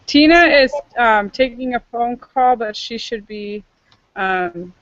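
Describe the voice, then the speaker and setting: A woman's voice: on the high side, expressive, takes it slowly, no room sound. A young woman from Northern California, an intern leading an online meeting in casual chat, friendly and full of fillers. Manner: chatty, filler-heavy, friendly. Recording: muffled online call audio.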